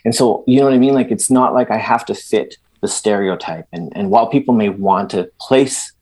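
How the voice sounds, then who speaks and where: Salvadoran-born guy, middle aged, kinda husky low voice, animated, lots of restarts. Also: fairly quick, poor audio. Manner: animated, many restarts, emphatic asides. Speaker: guest. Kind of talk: conversational interview.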